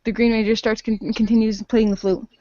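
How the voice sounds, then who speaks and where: A woman narrating an improvised role-play game, haltingly making it up as she goes. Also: a little high, level tone, warm tone, dry recording, dull audio.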